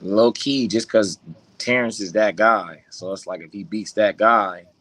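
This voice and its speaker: A man's voice: unhurried, fairly bright tone. Blunt man arguing boxing opinions. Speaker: co-host guest. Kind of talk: casual boxing debate. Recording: lower-quality dry mic.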